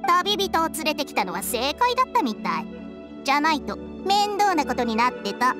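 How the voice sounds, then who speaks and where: A woman voicing a small, sharp-tongued female snake companion in an anime game, snide and informal. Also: sits high, very animated, moderate pace. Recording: background music under voice.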